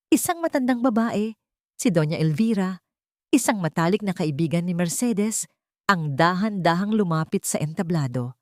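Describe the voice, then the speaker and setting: A woman with a Filipino accent narrating a scripted dramatic story in the first person, in a measured, emotive way. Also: mid-range voice, no echo, studio quality.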